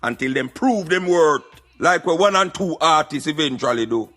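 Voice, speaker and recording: A man acts as an opinionated Jamaican Rasta-style commentator with a high, forceful, bright voice. He lectures emphatically in patois, repeating words as he drives points home, over a light music bed. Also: lively intonation, normal speed. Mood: reasoning. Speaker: radio show commentator. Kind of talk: satirical social commentary in patois.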